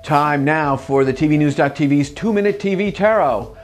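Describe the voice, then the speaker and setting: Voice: medium pitch, normal speed, soft background music. A news presenter reading scripted items with a wry touch. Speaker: news anchor.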